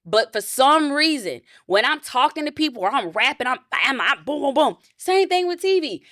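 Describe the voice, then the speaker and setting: A woman, talking openly as a guest in a casual interview, animated and self-reflective with many restarts. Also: normal speed, no echo, studio quality. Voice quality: deep voice.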